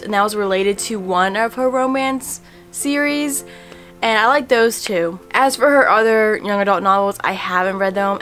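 A young woman in a casual book vlog, talking in a chatty, relaxed way with many fillers, recorded at home by a camera at desk distance. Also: medium pitch. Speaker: book vlogger. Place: home room in front of bookshelves.

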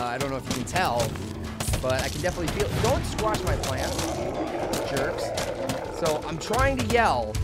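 Young male gaming host, slightly hoarse, playful and jokey, breaks into yelling; game music heavy behind him. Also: medium pitch. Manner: goofy, jokey, bursts into loud yelled shouts. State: slightly hoarse from losing his voice. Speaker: gaming commentator. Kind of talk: casual gameplay commentary. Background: video game music.

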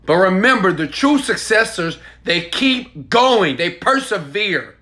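A man's voice: lively intonation, takes it slowly. Hyped man selling from a phone. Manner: hyped, preachy and emphatic, swinging between loud bursts and low asides. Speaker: online marketing coach. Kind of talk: selfie video sales pitch. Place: indoors in a small home room. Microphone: phone held at arm's length.

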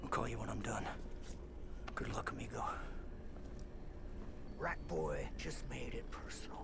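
An actor playing a scruffy, excitable hacker sidekick with a salty, colloquial way of talking, in a video game. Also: male voice, lowish voice, unhurried, creaky, in a whisper. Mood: grim resolve. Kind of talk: cinematic video game acting. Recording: loud noise behind the voice.

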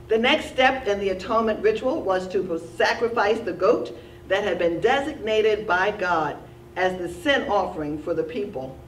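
A woman teaching a Sunday school Bible lesson at a church lectern, reading steadily and slowing down to stress key words. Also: mid-range voice, slight background noise. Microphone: lectern mic, filmed from a distant camera. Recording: roomy church sound from a distance.

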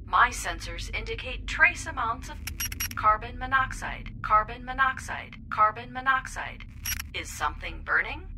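A woman's voice: on the high side, expressive, a little slow, noisy background. A woman playing a malfunctioning female spaceship computer that makes formal, repetitive announcements in an audio drama. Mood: alerting. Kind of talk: scripted audio drama acting.

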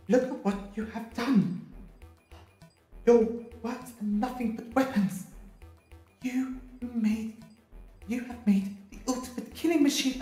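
A woman's voice: a little high, warm tone. A woman playing a righteous, defiant time-travelling alien hero in an audio drama, earnest and emphatic. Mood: outraged. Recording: roomy echo with music behind.

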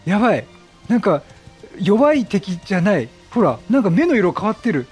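High-pitched, animated male game streamer. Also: normal speed, slightly rough. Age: middle-aged. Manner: chatty, reactive, repeats phrases. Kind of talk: excitable Let's Play commentary. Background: game music.

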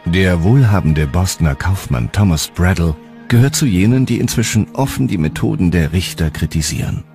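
A man's voice: low-pitched, expressive, moderate pace, a little mellow. A man delivering scripted, dramatic narration for a documentary. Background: music.

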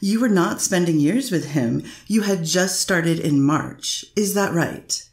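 A lower-voiced woman attorney questions a witness in an echoey courtroom. She builds her case with leading questions and tag endings that push for agreement. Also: lively intonation, measured pace, darkish tone. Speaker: defense attorney. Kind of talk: courtroom cross-examination.

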